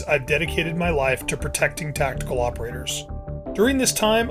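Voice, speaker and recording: A man, the host, reading his show's scripted introduction in a measured, earnest way. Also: a little high. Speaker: podcast host. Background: music bed.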